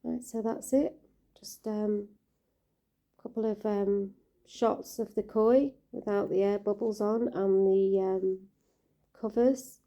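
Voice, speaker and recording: A woman with a croaky voice, speaking in a very dark, mellow tone. Her pitch stays flat and even as she chats gently about her fish, with the odd filler. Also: slow pace. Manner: gentle, caring, chatty with fillers. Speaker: koi keeper vlogger. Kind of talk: casual vlog narration.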